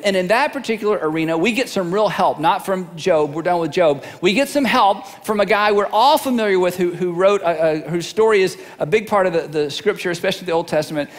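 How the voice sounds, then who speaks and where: Man, middle-aged, pastor, joking conversational sermon, high voice, long hall reverb. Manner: humorous, conversational, repeats words.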